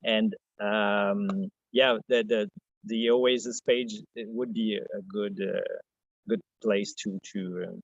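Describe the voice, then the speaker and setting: A man with a French Canadian accent, a podcast guest talking in a soft, hesitant way, full of restarts and fillers. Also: on the low side, fairly even intonation, takes it slowly, gravelly, no echo, lo-fi sound. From Quebec, Canada. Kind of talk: casual interview chat.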